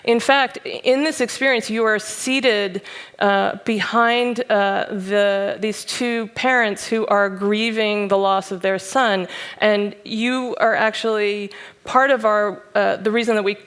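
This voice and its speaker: A woman talks through her virtual reality project at a conference panel, explaining carefully and pausing on fillers. Her voice is smooth and clear, at a middle pitch. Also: steady tone, fairly bright tone. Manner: thoughtful, many fillers, explanatory. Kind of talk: panel presentation. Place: conference stage.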